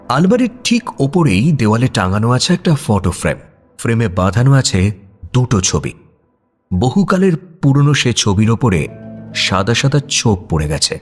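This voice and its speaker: A man narrating a scripted suspense story in a descriptive, dramatic way, with music and crickets behind him. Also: mid-range voice. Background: music bed with cricket sounds.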